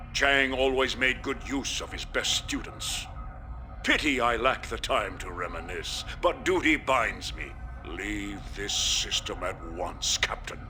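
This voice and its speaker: A man voicing a scornful, theatrical Klingon warship colonel in a video game cutscene, sardonic and quick to insult. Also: medium pitch, bright, forceful tone, full character voice. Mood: wry, dismissive.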